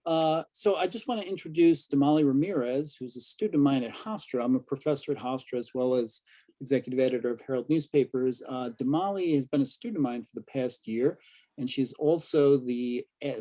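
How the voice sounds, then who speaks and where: A man moderating a video-call panel as a professor and newspaper editor, measured as he introduces guests. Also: mid-range voice, mellow.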